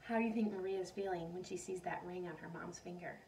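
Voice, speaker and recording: A woman reading a storybook aloud to children in an expressive, animated way. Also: lowish voice, brisk, gravelly, a little hiss or hum. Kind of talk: expressive storybook read-aloud with questions to listeners. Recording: roomy echo, distant mic.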